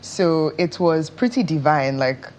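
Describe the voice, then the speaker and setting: A woman with a Nigerian accent giving measured, expressive answers as an actress being interviewed. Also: deep voice. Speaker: interview guest, stage and screen actress.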